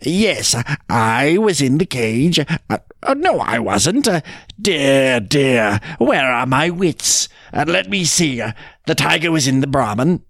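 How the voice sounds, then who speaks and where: A man's voice: medium pitch, big pitch swings, a little slow, creaky, no room sound, clean studio sound. A man voicing a sly jackal in a storybook tale who plays muddled and dim, hesitant and plaintive. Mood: flustered, pleading. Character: sly trickster jackal feigning muddled, dithering stupidity. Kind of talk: storybook character reading.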